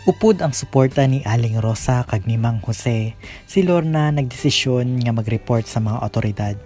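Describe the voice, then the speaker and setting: A man's voice: mid-range voice, moderate pace. A man with a Filipino accent reading scripted narration as the host of a radio drama. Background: faint music.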